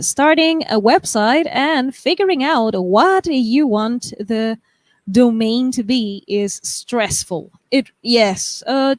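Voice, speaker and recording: A young woman from the United States talks slowly and unhurriedly on a live stream, her voice sitting a little high. She reads out viewer comments and chats about them, often laughing and restarting her sentences. The sound is dry and close, with no room echo. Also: a little mellow. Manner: chatty, laughs often, trails off and restarts while reading chat. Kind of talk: casual chat reading viewer comments.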